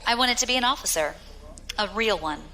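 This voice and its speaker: A woman's voice: fairly high pitch, expressive, a little fast, sharp tone, slight background noise. An actress playing a battle-hardened, proud young female pirate captain with a wry storytelling manner in a science fiction audio drama. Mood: bitter, resolute.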